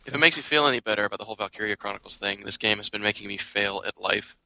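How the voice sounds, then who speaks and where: Tense, sharp, creaky-voiced man. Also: fairly low pitch, rapid-fire, no echo, poor audio. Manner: informal, sweary. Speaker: podcast panelist. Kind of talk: casual gaming chat.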